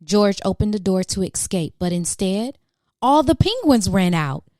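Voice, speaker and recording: Expressive, mellow woman reading to children. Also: medium pitch, a little slow, dry recording, clean studio sound. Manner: dramatic questions and exclamations for young listeners. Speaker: children's storybook reader, channel host. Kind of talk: expressive read-aloud of a children's picture book.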